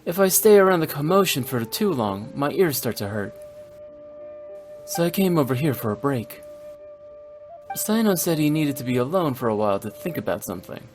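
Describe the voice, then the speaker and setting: A man's voice: on the high side, big pitch swings, normal speed. Young fox-eared man, video game, conversational, polite, sensible, light background music. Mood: friendly, wry.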